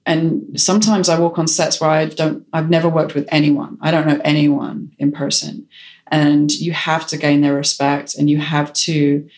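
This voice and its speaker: Low-voiced woman, decisive and frank. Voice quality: strong voice. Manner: decisive, frank, self-assured. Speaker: director and photographer. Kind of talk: interview answers.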